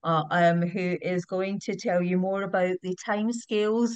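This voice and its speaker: A woman's voice: lowish voice, fairly even intonation, normal speed, a little mellow, smooth, no echo, lo-fi sound. British woman hosting a dry-sounding webinar. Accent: British English. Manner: fluent but with frequent ums. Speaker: webinar host. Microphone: video call.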